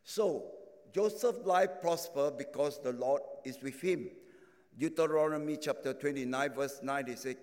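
A man preaching a sermon and sharing his testimony, in non-native English phrasing with halting restarts. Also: on the high side, a little mellow. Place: large echoing hall. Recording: echoing hall.

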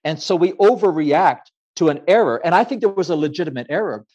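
A man's voice: a little high, expressive, darkish tone. Reflective male author guest, raised in Ecuador, thoughtful delivery, lo-fi remote sound. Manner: measured, thoughtful, many fillers. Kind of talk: reflective interview answer.

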